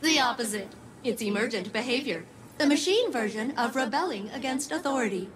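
A woman's voice: a little high, expressive, brisk, a crowd in the background, close to an everyday voice. A woman voicing a polite, earnest female machine intelligence in a video game, speaking precisely.

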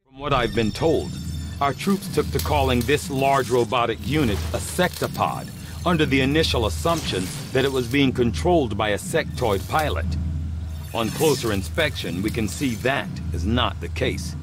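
A composed scientist, played by a man, speaks formally and precisely in a calm, mid-pitched voice. Game music sits underneath. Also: moderate pace, close to an everyday voice. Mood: explaining. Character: composed male scientist doctor, formal and measured. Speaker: science officer. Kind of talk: video game cutscene acting.

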